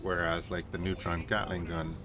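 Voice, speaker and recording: Low-voiced Irish man, sick, chatty. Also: level tone, normal speed, music in the background. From Ireland. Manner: chatty, explains game mechanics while answering chat. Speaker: game streamer. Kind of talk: casual live gameplay commentary. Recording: noticeable background noise.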